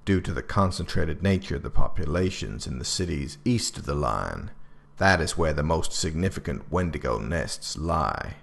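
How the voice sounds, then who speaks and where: Very deep, gravelly, stern government official. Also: male voice, expressive, a bit bright, full character voice. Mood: grave, instructive. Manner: formal, measured, oratorical. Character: grave, authoritative male director writing a 19th-century government handbook. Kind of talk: scripted dramatic narration.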